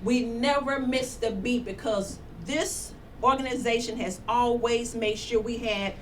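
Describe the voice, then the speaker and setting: A woman's voice: on the high side, fairly even intonation, unhurried, slight background noise. A woman, the show's executive producer, talking casually and laughing on a radio panel.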